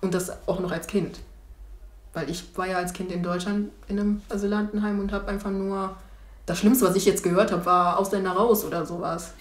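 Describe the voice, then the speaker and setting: A young woman in her twenties recalls things in a reflective, hesitant way, with restarts and fillers. Her voice sits at an ordinary middle pitch. The recording has a noticeable roomy echo from a lapel mic. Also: level tone, normal speed. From Germany. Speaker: documentary interviewee. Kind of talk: reflective documentary testimony. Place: small room indoors and street outdoors.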